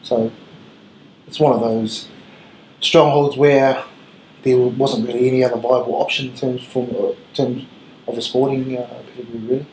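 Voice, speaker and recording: A man in his thirties with a New Zealand accent, a rugby head coach giving earnest, measured answers in an interview. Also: medium pitch, little pitch movement, a little mellow. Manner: earnest, measured, with filler ums. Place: small room with gray wall, seated at table. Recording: roomy echo, faint room hiss.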